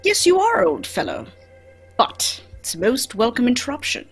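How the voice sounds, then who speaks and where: Woman acting superior gentleman detective, husky, highish, lively intonation, precise radio drama delivery. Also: moderate pace, faint music behind, exaggerated voice. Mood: brisk, affable. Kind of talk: old-time radio drama acting, read live.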